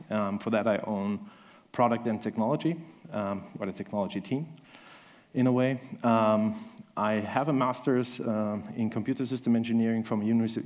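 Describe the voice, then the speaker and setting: A man's voice: medium pitch, darkish tone. Austrian man giving a chatty tech talk. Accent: Austrian German. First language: German. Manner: chatty, self-deprecating, many ums. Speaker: conference speaker. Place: large dark conference stage.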